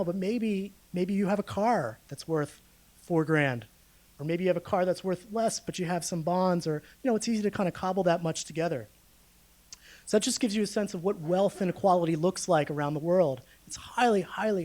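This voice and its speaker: A man's voice: high voice, a little mellow. Man giving an informal lecture. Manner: explanatory, informal, checks in with the audience. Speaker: guest speaker, researcher giving a public lecture. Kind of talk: public lecture with data, conversational. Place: speaking live to a room of listeners.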